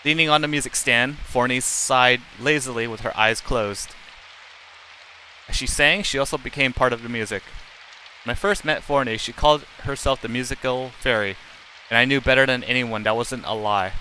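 A man's voice: mid-range voice, moderate pace, sharp tone, a little hiss or hum, rough recording. A middle-aged man live streaming, reading game text aloud in a plain, unacted way with casual asides and occasional stumbles.

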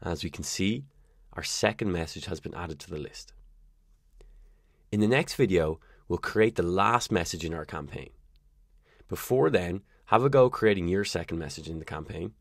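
A man with a low voice presents a software screencast. His delivery is scripted and instructional, moving step by step. The sound is dry, with very little room in it. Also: lively intonation, normal speed, gravelly, no echo. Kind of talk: scripted screencast tutorial narration. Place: voice-over for a screen recording.

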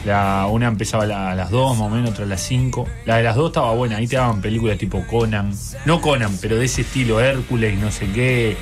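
A man's voice: fairly low pitch, steady tone, fast, hardly any pauses, music in the background. A man with a Uruguayan accent in casual comic banter as a radio host, telling things in a wry, colloquial way.

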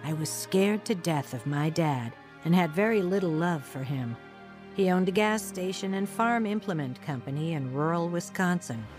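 Woman playing emotional young woman in radio drama, swoopy expressive voice, music behind. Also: lowish voice, moderate pace, close to an everyday voice. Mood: earnest, wounded. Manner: expressive, earnest. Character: reckless, emotional nineteen-year-old woman telling her story. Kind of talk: radio drama acting and first-person narration.

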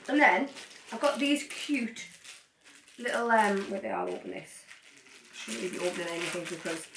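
A young woman chats excitedly to camera, hopping from one thing to the next with plenty of asides. She is recorded from across the room, so her voice sounds distant and echoes off the walls, with a faint hiss underneath. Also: medium pitch, moderate pace. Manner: chatty, excitable, rambling asides. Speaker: vlogger. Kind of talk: casual haul chat to camera. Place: cluttered living room, sitting on the floor. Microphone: far camera.

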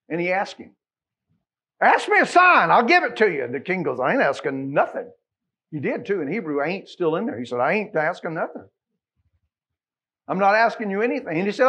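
A middle-aged American man preaching a Baptist sermon, emphatic, moving between quiet asides and loud exclamations and quoting scripture. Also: high-pitched, muffled recording. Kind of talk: Sunday sermon with scripture quotations. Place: small church sanctuary, at the pulpit.

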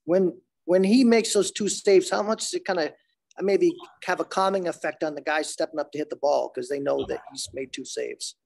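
A man's voice: on the high side, normal speed, gravelly, darkish tone. An older man asking interview questions as a sports reporter, informal, often restarting his phrases, on a phone video call.

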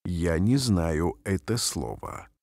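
A man reading short phrases aloud slowly and carefully, each one repeated, for language learners. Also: low voice, big pitch swings, darkish tone, no room sound. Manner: careful, clearly spaced phrases. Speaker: language teacher. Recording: dry studio voice-over.

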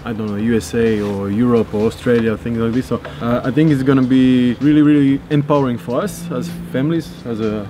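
A man's voice: medium pitch, fairly even intonation, brisk, few pauses, mellow, soft background music. A man in his thirties, not a native English speaker, chatting casually in a vlog with hesitant, repeated words. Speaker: vlogger and handball player. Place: outdoor rooftop terrace. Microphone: on-camera shotgun mic at short distance. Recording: outdoor urban noise, birds, traffic.

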